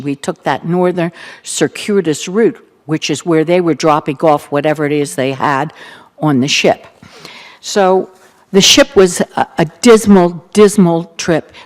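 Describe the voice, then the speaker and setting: An older woman with a Baltimore accent, the keynote speaker, recounting her family's past in an earnest, reflective talk with gentle humor. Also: lowish voice, unhurried. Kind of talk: personal testimony talk.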